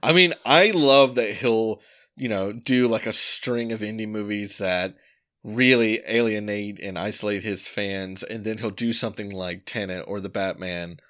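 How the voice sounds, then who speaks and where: A man hosting a film podcast in casual chat, laid-back and quick to laugh, steering the talk into each segment. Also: fairly low pitch, a bit bright. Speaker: podcast host.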